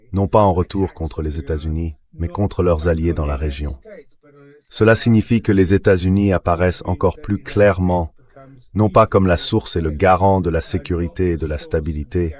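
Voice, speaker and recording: A man giving measured, formal geopolitical analysis as an expert guest in a dubbed interview. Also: low-pitched, darkish tone.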